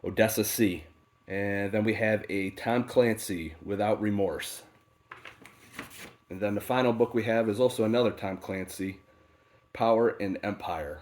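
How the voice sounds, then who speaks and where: A man with a very low voice, stuffy and congested from a head cold. He talks in a relaxed, unhurried way, filling gaps with "uh" and "um" as he goes through his books. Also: a little hiss or hum. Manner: relaxed and unhurried, with many fillers. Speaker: book channel host. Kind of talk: casual unboxing talk. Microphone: off-camera voice, faceless video.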